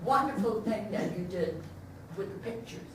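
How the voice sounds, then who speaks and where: A woman's voice: mid-range voice, expressive, moderate pace, heavy reverb, a little hiss or hum. A woman giving informal spoken feedback as an audience member at a talkback, picked up by a distant mic in a room. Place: indoor room at a staged reading talkback.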